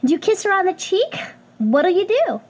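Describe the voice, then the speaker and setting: A woman's voice: very high-pitched, sing-song, normal speed, darkish tone. An actress playing an eager, meddling young woman in an audio drama, chatty, emphatic and pushy. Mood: bossy, instructive.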